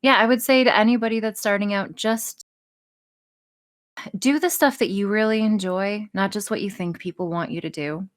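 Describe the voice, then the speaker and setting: A woman audio role-play creator talking casually on a podcast, swearing freely and poking fun at herself. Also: mid-range voice. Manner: frequent swearing, self-deprecating.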